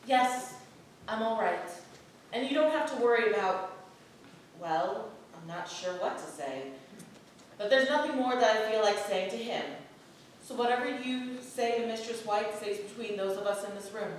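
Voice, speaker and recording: A woman's voice: fairly high pitch, normal speed, natural voice. A woman playing a guarded, conflicted townswoman in a staged reading of a historical play, hesitant and restrained. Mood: uneasy, guarded. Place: theatre stage, recorded from the audience. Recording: echoey hall, distant mic, faint noise.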